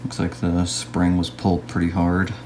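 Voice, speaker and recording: A man narrating a casual hands-on restoration video as a hobbyist, musing aloud to himself in an unhurried way. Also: deep voice, monotone, darkish tone, a little hiss or hum.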